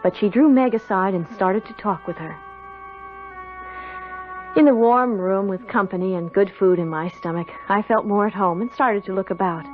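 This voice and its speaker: A woman's voice: medium pitch, moderate pace, lightly acted. An actress playing a timid, curious young country girl in a 1970s radio drama, earnest, hesitant and polite. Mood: wistful recounting. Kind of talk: 1970s radio drama acting, first-person narration and dialogue. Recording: dull radio recording with music.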